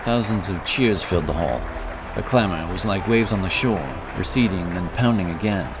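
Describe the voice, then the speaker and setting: A man's voice: low voice, normal speed, crowd noise, noisy background. A man narrating a scripted fantasy story. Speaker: audiobook narrator. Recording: music behind the voice. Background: music.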